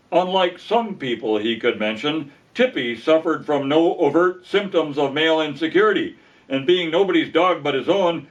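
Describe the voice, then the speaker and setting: A man narrating a comic story aloud in a wry, folksy manner. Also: a little high. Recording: dull lo-fi sound, distant from mic.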